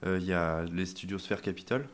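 A young man speaks in a strikingly deep voice, pausing often and restarting his sentences. He talks casually in an interview about his band and his instrument. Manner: hesitant, many fillers and restarts. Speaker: band member, sousaphone player. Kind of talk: interview conversation.

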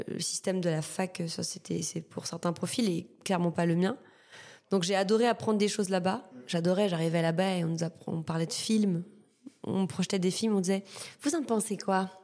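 A young woman from Martinique with a Martinican French accent, talking casually as a guest artist, halting with many fillers and repeated words. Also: medium pitch, a little mellow. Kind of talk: casual interview chat.

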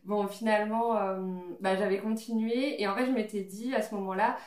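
A woman's voice: mid-range voice, steady tone, fairly quick, darkish tone. Chatty woman in her twenties, distant mic. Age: 20s. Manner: chatty storyteller, laughs often, many fillers. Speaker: podcast guest. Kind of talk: casual chat among friends. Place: living room, around a coffee table. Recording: roomy sound from a distant mic.

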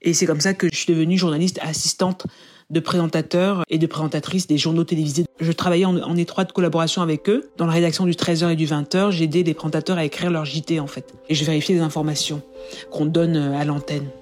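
A woman from France, a podcast guest and author, tells her career story in conversation as an animated storyteller who often pauses and restarts her sentences. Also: low-pitched, soft background music.

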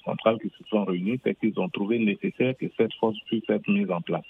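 A man's voice: fairly low pitch, brisk, gravelly, breathy edge, dry recording. A man calling in to a radio show by phone, stating his view with assurance and repeated emphasis. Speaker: caller. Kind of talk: call-in radio opinion. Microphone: phone line. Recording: tinny phone line.

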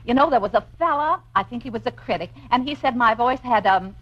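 A woman's voice: a little high, expressive, fast. A woman playing a playful, scheming wife in theatrical, chatty 1950s radio comedy style. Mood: showy, chatty. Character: scheming playful wife, posing as brash lowbrow nightclub singer. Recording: muffled noisy 1950s radio broadcast.

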